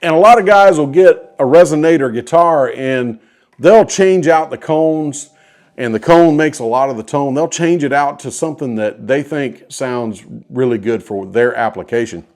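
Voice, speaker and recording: A man's voice: medium pitch, normal speed, darkish tone. A man with an American accent telling a story casually to viewers in a folksy, enthusiastic way, as the host of a guitar video.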